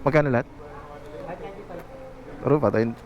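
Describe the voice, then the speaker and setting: A Filipino man talks casually as a motorcycle vlogger, informal and chatty, dropping in English phrases. His voice sits at a medium pitch, picked up on a helmet intercom with clear road and vehicle noise behind it. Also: moderate pace, dull audio. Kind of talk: casual vlog commentary. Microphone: helmet intercom headset. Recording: clearly noisy outdoor intercom audio.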